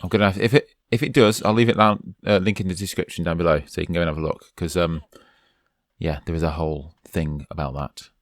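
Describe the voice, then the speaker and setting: A man's voice: low voice, steady tone, fast, dry recording. A man from the UK commenting casually over a game, chatty and urging his character on, while losing his voice. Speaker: let's play host.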